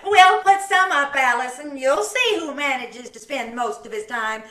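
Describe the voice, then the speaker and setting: A woman acts out a wry, affectionate wife from an old radio comedy. Her piercingly high, bright voice teases and coaxes with sarcasm. It comes from a little way off in an echoey room over a video call. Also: animated, unhurried. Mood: teasing. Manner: teasing, sarcastic, coaxing. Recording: roomy, distant video call, faint noise.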